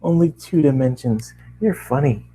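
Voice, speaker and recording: Storybook pony girl, played by a man, pitched well up, young, fretful and earnest. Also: moderate pace, dark tone, slightly rough, close to an everyday voice, rough recording. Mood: amused. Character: anxious young female magical pony.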